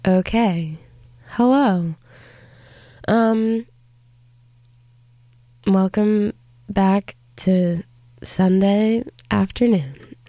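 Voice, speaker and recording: A woman's voice: mid-range voice, extremely slow, pauses often, warm tone, no room sound. A woman hosting a college radio show from a studio, talking casually with many fillers while congested from a cold. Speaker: radio host. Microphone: studio microphone.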